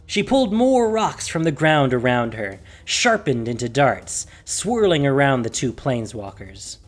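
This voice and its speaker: Low-voiced woman narrating with dramatic, swooping emphasis. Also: very animated, unhurried. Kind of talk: dramatic scripted story narration.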